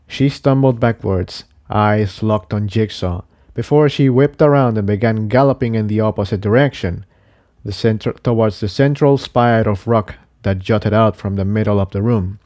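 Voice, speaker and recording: A man's voice: fairly low pitch, normal speed, a little mellow. A man narrating an adventure story from a script. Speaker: narrator reading fan fiction.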